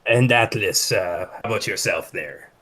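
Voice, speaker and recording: A man playing a tabletop game, describing his character and calmly asking the group questions. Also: fairly low pitch, moderate pace, husky. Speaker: player.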